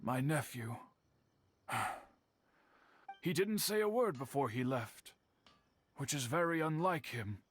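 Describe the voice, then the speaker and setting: A man's voice: medium pitch, lively intonation, takes it slowly, long pauses, a little hiss or hum. A man playing a stoic, dignified older master swordsmith in a video game, formal and grave, with music behind him. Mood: troubled, resigned. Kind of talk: scripted video game dialogue.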